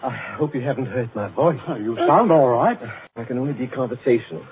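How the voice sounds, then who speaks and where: An actor playing a brisk, flippant gentleman detective in 1940s radio drama. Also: medium pitch, lively intonation, natural voice. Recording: tinny, noisy old radio broadcast.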